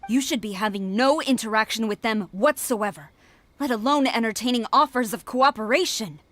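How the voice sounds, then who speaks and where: A woman's voice: a little high, moderate pace, fairly bright tone, dry recording, lightly acted. Woman playing a stern, proud military commander. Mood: stern, angry. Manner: commanding, formal, clipped. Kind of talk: video game voice acting.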